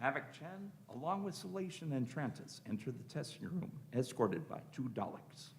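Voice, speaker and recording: A man's voice: medium pitch, very animated, moderate pace. Man, narrator, scripted sci-fi radio play narration.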